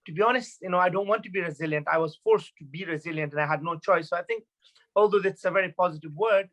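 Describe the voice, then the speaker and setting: A man's voice: high-pitched, fast talker, a bit bright. A man in his thirties from Afghanistan, speaking as a guest at an event in an earnest, reflective way with many fillers and restarts. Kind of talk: personal talk at an online event.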